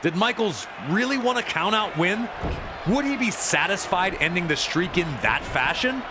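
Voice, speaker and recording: Guy doing dramatic wrestling narration, pretty high voice, emphatic, super noisy crowd all over it. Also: animated, normal speed. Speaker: sports narrator. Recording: loud crowd noise behind voice. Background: roaring arena crowd.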